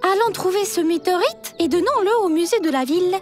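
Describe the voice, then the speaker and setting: A little cartoon dinosaur girl with a very high voice. She sounds practical and gently teasing. Music plays behind her. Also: expressive, a little fast. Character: level-headed, teasing young female cartoon dinosaur machine.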